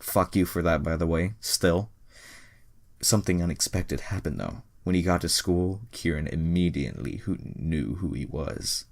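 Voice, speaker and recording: A man's voice: deep voice, expressive, normal speed, creaky. A young man reading an amateur story aloud in a mocking way, breaking off for sarcastic, profane asides. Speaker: reader. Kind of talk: mocking dramatic reading of amateur fan fiction.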